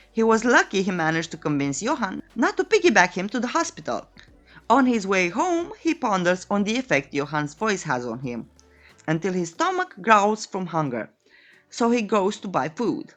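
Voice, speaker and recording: A woman's voice: mid-range voice, big pitch swings, moderate pace, fairly bright tone, faint music behind. A woman reading a scripted story recap as a narrator, in a playful, tongue-in-cheek way. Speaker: narrator of a comic recap channel.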